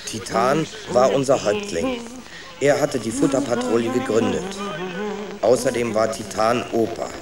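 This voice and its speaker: An actor playing a young sewer rat who calmly narrates his story in a children's radio play, measured and matter-of-fact. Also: medium pitch, loud music behind the voice, close to an everyday voice. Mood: calm, storytelling.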